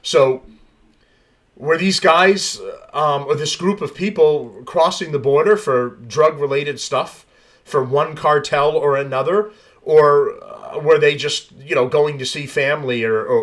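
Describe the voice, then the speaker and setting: A man's voice: mid-range voice, fairly even intonation, a little slow, a bit bright. Man, podcast host, reads news then rants, blunt, swears, rambles. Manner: blunt, profane, rambling asides. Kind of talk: reading news and commenting.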